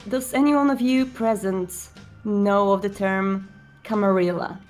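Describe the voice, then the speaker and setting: A woman acts out a composed male vampire prince in a tabletop game. The speech is formal, measured and a little hesitant, with weary courtesy. Faint music plays behind. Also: mid-range voice, darkish tone, natural voice. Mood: courteous, grave.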